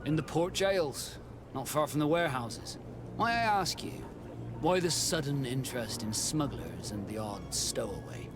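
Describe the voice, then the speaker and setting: A man playing a proud, guarded sea captain in a video game, speaking in a formal, measured, dry way. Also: medium pitch, animated, over music, close to an everyday voice. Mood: wry, guarded.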